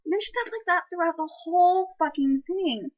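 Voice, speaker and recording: Young woman, high voice, exasperated swearing rant, dull lo-fi recording. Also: expressive, normal speed, noisy background. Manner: exasperated, swears a lot, rambling restarts. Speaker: film reviewer. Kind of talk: ranting casual movie review. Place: room at home with a piano.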